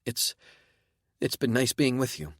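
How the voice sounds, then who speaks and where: A man voicing a gentle young woman who is dying, speaking softly and pleadingly. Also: medium pitch, lively intonation, unhurried, no room sound, clean studio sound, pitched above the performer's own voice. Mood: wistful.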